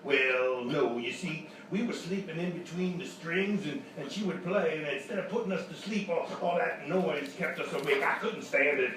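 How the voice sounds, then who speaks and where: A man acts out an old blues musician in a radio comedy sketch, folksy and genial. His voice is on the high side and heard from far off in a very echoey, noisy space. He rambles and chuckles through his tales. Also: close to an everyday voice. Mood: genial rambling. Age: older. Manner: folksy, rambling, chuckling storyteller with halting repeats. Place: live show in a hotel room. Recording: echoey live stage, distant mic, noisy.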